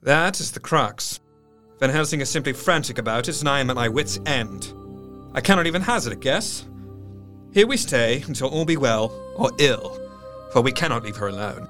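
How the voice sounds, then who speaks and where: An actor playing a careworn Victorian doctor, narrating his diary with formal, measured diction in a gothic audio drama. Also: mid-range voice, animated, a bit bright, lightly acted. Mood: grave, worried. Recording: faint music behind. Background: music.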